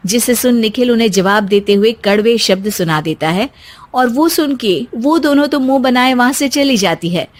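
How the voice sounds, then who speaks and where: A woman narrating a scripted cartoon story as its storyteller. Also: a little high.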